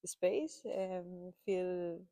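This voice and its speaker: A woman hosting a podcast interview, soft-spoken and hesitant as she poses her questions. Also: lowish voice, extremely slow, no echo. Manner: hesitant, many fillers and restarts, soft-spoken. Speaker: podcast host. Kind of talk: casual interview conversation.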